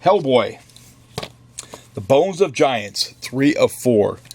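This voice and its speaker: A relaxed man runs through a stack of new comics in his shop, talking slowly and dropping offhand jokes as he goes. His voice sits at an ordinary middle pitch, and the delivery is unhurried chat, not a performance. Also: a little hiss or hum. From United States. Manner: offhand, joking asides while flipping through comics. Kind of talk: casual product run-through. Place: comic book store.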